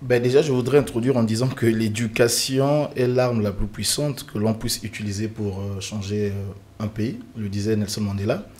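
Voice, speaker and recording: A man's voice: on the low side, steady tone, moderate pace, a little mellow, breathy edge. A man from the Republic of the Congo, a guest on a televised panel, speaking earnestly and emphatically with frequent fillers and restarts.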